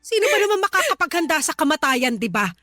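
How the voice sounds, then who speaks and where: A woman playing a blunt, sharp-tongued older mother who scolds her daughter in a radio drama. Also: sits high, huge pitch swings, fast, dry recording, exaggerated voice. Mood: lecturing. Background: soft background music.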